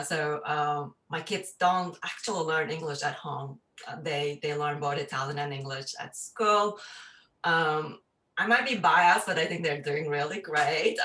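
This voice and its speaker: Woman, low bright voice, thoughtful, halting, distant mic in an echoey room. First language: Turkish. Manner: thoughtful, many fillers and restarts. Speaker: parent and neuroscience researcher. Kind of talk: casual panel remarks.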